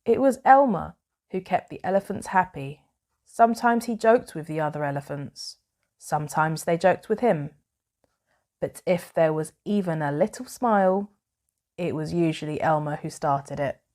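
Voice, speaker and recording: A woman reading a children's bedtime story aloud in a measured, expressive way with dramatic pauses. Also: low voice.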